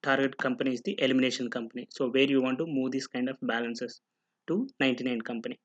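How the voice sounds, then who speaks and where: A man narrates a software tutorial as an instructor, explaining step by step and often posing questions that he then answers. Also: mid-range voice, steady tone, fast, no echo, highs cut off. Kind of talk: screen-recorded tutorial narration. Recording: noticeable background noise with clicking.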